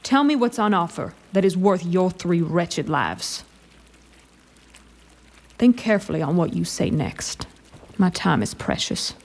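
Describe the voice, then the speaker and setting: Imperious, sweetly menacing fantasy commander. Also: female voice, on the low side, very animated, measured pace, long pauses, full character voice. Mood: cold threat. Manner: grandly formal, silkily condescending. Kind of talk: fantasy audio drama acting.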